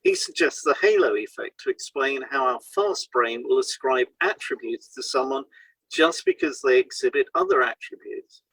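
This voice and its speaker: Husky-voiced man reading a scripted podcast. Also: medium pitch, huge pitch swings, normal speed, a bit bright, no echo, rough recording. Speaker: podcast co-host and hypnotherapist. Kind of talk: scripted educational podcast read aloud.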